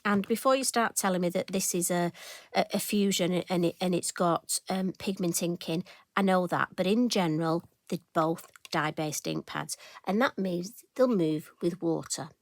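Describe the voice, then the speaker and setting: A woman talks through her craft project in a casual, homely chat full of asides. Her voice sits a little low and sounds croaky. The recording is dry and close, with no room sound. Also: normal speed, no echo. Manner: chatty, homely, rambling asides. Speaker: craft demonstrator.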